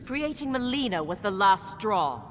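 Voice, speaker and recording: An actress voicing a poised, proud young warrior woman in a video game, measured, formal and coolly defiant. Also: medium pitch, very animated, fairly bright tone, a little hiss or hum. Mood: proud, firm. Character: poised, proud young female warrior, loyal and sharp-tongued. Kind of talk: video game character dialogue.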